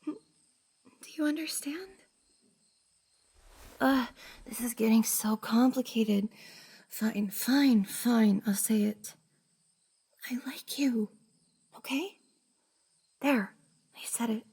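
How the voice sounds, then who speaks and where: A woman playing a shy, flustered young cosplayer in an intimate roleplay ASMR drama, hesitant and breathy, often sighing and dropping to a whisper. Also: fairly high pitch, takes it slowly, long pauses, darkish tone, exaggerated voice. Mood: nervous, flustered.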